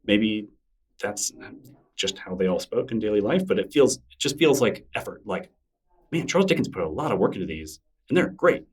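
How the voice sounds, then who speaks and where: A man's voice: on the low side, sing-song, normal speed, breathy edge, recorded from a distance. A middle-aged man, a guest in an interview, talking in a thoughtful, rambling way with many fillers and restarts. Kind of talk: casual interview conversation.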